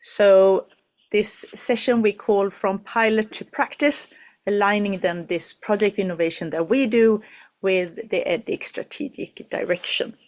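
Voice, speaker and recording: A woman's voice: medium pitch, fairly even intonation, a little slow, a little mellow, muffled recording. A woman hosting an online project session from a studio, talking hesitantly with many fillers and restarts. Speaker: webinar host.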